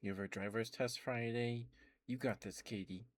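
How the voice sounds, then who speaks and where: A man speaking softly and breathily as an ASMR streamer, close to the microphone, murmuring gentle affirmations to his viewers. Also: mid-range voice, steady tone, dry recording. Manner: soft, breathy whispering with slow, repeated affirmations. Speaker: ASMR livestreamer. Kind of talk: ASMR, intimate rambling to viewers.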